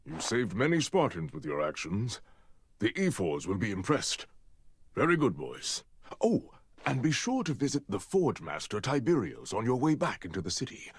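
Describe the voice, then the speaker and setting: An actor playing an authoritative older Spartan mentor with a husky voice and measured, theatrical delivery in a video game. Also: male voice, lowish voice, huge pitch swings, no echo, heavily acted. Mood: approving. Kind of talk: scripted video game drama.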